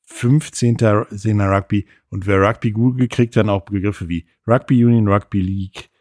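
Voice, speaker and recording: Low dry-voiced male host, joking. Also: steady tone, moderate pace, darkish tone, dry recording. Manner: joking, casual questions. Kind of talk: interview hosting.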